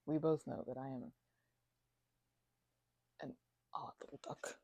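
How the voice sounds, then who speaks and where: A woman in an ASMR roleplay talking to the listener as a roommate, rambling and hesitant, with lots of fillers and stammered restarts and soft whispers at times, close to the microphone. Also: sits low, fairly even intonation, slow pace, noisy background.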